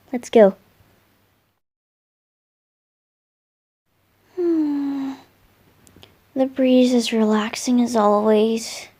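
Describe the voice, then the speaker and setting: Slow, soft boyish wind god voiced by a woman. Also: sits high, breathy edge, exaggerated voice. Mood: cheerful, relaxed. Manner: soft, musing, hesitant, affectionate. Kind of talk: roleplay ASMR, intimate one-sided dialogue to a listener.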